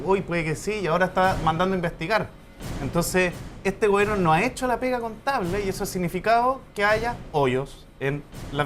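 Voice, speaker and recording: Fast-talking combative Chilean man. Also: sits high, fairly bright tone. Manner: combative, sarcastic, rapid rebuttals. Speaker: panelist. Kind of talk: TV panel debate.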